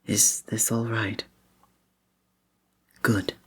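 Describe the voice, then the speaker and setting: Man playing a soft, breathy, gallant fantasy innkeeper. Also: on the low side, flat delivery, very slow, heavily acted. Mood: flirtatious, tender. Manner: formal, old-fashioned, gallant, gentle phrasing. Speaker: ASMR roleplay performer. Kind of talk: scripted romantic ASMR roleplay.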